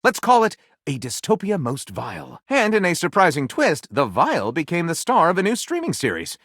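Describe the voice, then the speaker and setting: A man voicing a flamboyant, excitable, pompous author character for a video game, narrating in a grandiose, melodramatic storytelling style. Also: high voice, normal speed, dry recording, studio quality, exaggerated voice. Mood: grandiose, gleeful. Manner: grandiose, melodramatic narrator delivery with gushing exclamations. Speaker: voice actor playing a game character.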